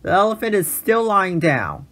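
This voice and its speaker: A man's voice: high-pitched, big pitch swings, normal speed, fairly bright tone, pitched above the performer's own voice. A man voicing a fretful, alarmed zookeeper in a storybook, exclaiming and wailing. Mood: worried.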